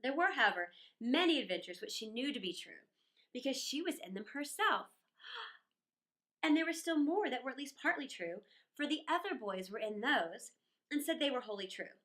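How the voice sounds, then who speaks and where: A woman reading a children's story aloud in an expressive, dramatic way, with musing asides and pauses. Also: high-pitched.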